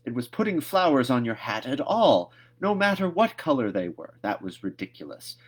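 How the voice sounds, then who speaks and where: A man voicing a stern older woman who scolds a child briskly in a storybook read-aloud. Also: medium pitch, sing-song, slightly rough, voice pushed up. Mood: exasperated.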